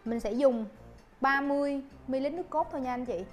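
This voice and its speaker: Young woman barista, fairly high voice, courteous step-by-step tutorial, lapel mic, faint music under it. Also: brisk. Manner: polite, addresses viewers with soft fillers. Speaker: barista instructor. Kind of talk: coffee-making tutorial. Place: coffee shop counter indoors.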